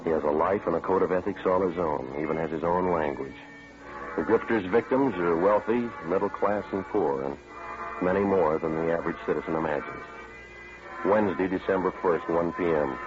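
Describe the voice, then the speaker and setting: An actor as a dry, low-voiced detective sergeant who speaks in clipped, flat, factual phrases. It is an old radio police drama, muffled, with music behind. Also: male voice, moderate pace, natural voice. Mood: matter-of-fact. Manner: clipped, deadpan, matter-of-fact. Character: terse, dry, world-weary male police sergeant. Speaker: narrator and lead detective. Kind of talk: 1950s radio police drama, narration and dialogue.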